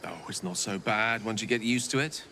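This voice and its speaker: A wry, deep-voiced wizard. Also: male voice, brisk, a little hiss or hum. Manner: wry, eloquent, expressive. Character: erudite male wizard, wry and verbose.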